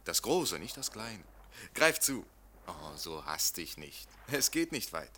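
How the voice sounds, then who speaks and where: A man's voice: deep voice, very animated, slow, bright, forceful tone, a little hiss or hum, exaggerated voice. A man in a German radio play acting a worldly boatman and grotto guide, coaxing, servile yet commanding. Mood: brisk, coaxing.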